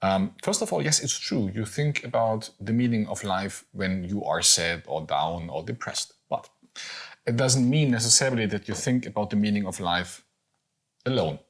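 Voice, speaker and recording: A man's voice: fairly low pitch, lively intonation, normal speed. A German man in his forties, speaking English with a German accent, chatting casually as podcast co-host, hesitant with many fillers and restarts. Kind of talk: casual conversation.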